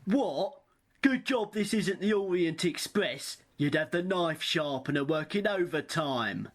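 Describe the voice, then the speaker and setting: A man's voice: a little high, animated, a little slow. A man with a British accent playing a defensive, sardonic accused passenger in an amateur radio play. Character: defensive passenger accused of theft, protesting innocence.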